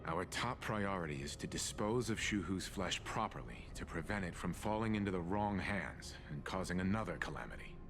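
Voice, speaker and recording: An actor playing a dutiful military subordinate who speaks formally and seriously. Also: male voice, sits low, animated, normal speed, few pauses. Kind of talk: dramatic game voice acting. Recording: music behind the voice.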